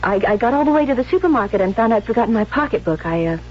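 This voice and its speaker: A woman's voice: a little high, lively intonation, very fast, a little mellow, slight background noise, lightly acted. An actress playing a jealous, obsessive young woman in an intense, theatrical 1970s radio drama style. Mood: nervous, evasive. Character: jealous, obsessive young bride-to-be turned murderess. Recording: dull vintage radio recording with music.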